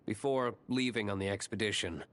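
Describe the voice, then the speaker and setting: An actor playing a reserved, stern young tavern owner in a video game, speaking formally and with restraint. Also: male voice, on the low side, very noisy, natural voice. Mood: guarded. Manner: formal, measured, terse.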